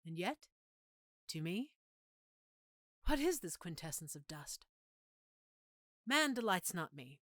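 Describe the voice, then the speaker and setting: A melancholy, brooding young prince, performed by a woman, speaking with theatrical pauses. The delivery is animated and bright, recorded dry as a stage soliloquy. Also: fairly low pitch, no echo, heavily acted. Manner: theatrical, measured, emphatic pauses. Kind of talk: Shakespearean monologue acting.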